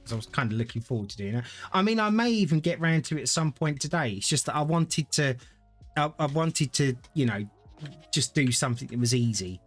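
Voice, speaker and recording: A man's voice: mid-range voice, animated, fairly quick, faint music behind. Chatty man streaming a game. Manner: rambling, thinks aloud, chats to viewers. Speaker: gaming streamer. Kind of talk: casual live-stream gameplay commentary.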